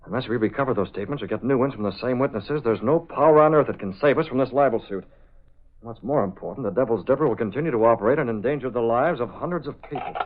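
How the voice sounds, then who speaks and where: An actor playing a resolute newspaper publisher, with an American accent and emphatic, authoritative old-time radio delivery. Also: male voice, medium pitch, fast, natural voice. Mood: grave, determined. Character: resolute, commanding young male newspaper publisher and crusader. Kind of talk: 1930s-40s radio acting. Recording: tinny band-limited vintage radio recording.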